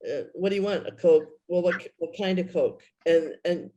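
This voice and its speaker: A man's voice: high voice, normal speed, dark tone, dull audio, a little hiss or hum. A man talking casually and informally in a group. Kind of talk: casual chat.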